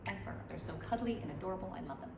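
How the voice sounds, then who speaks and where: A woman's voice: fairly low pitch, animated, rapid-fire, vocal fry. A woman in a casual chat, giving long rambling answers with many restarts. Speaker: musician interviewee. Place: room in Dubai. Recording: echoey room with background noise.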